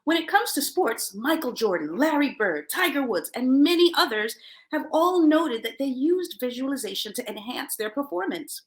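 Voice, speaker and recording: A middle-aged woman hosting a self-help show, reading aloud and commenting in an emphatic motivational style with deliberate pauses. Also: high-pitched, big pitch swings. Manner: emphatic, dramatic pauses, chuckles between phrases.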